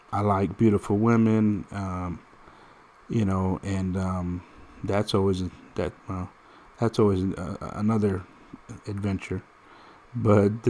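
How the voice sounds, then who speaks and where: Man in his thirties, low gravelly voice, casual game commentary, rambling and direct. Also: darkish tone, slight background noise. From United States. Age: 30s. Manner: rambling, direct, storytelling with restarts. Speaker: mobile game streamer.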